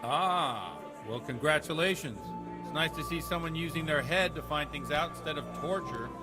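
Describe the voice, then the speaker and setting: An actor playing a worldly, avuncular middle-aged village priest with a measured manner in a medieval video game. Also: male voice, fairly high pitch, animated, music in the background, lightly acted. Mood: sober, companionable. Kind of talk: video game cutscene dialogue.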